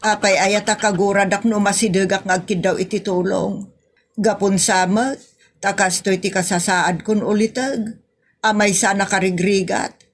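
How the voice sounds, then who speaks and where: A woman acting a plaintive, talkative Filipino woman in a comedy audio drama, with an Ilocano accent and drawn-out way of explaining. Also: mid-range voice, fairly even intonation, lightly acted. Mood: pleading.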